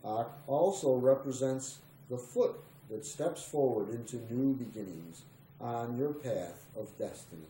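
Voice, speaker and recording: A man's voice: medium pitch, expressive, measured pace, dark tone. A man reading a spiritual text aloud in a meditative, scripted style. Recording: roomy echo, faint background noise.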